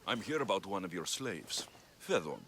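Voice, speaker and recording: A man's voice: low-pitched, big pitch swings, moderate pace, pushed and bright, a little hiss or hum, exaggerated voice. An actor playing a blunt male mercenary hero with a curt, dry manner.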